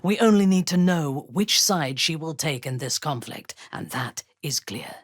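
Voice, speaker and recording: A ruthless, commanding female dark elf for a fantasy game. Her voice is husky and mostly low, with wide, dramatic swings in pitch. She speaks formally and imperiously, with a vengeful edge. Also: animated, measured pace, no echo, clean studio sound, heavily acted. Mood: cold, imperious. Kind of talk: fantasy video game voice acting.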